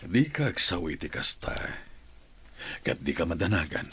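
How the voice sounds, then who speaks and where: A man's voice: sits low, very animated, moderate pace, a bit bright, husky, exaggerated voice. A man with a Filipino accent playing a supportive, reassuring companion of a troubled mother in a radio drama. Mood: consoling.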